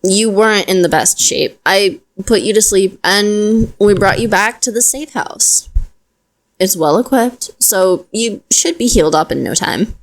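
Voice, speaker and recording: A woman's voice: mid-range voice, a little slow, close to an everyday voice, poor audio. Woman acting a caring, frank witch rescuer. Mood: explaining. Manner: gentle, reassuring, conversational. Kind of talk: intimate audio roleplay acting, addressing listener.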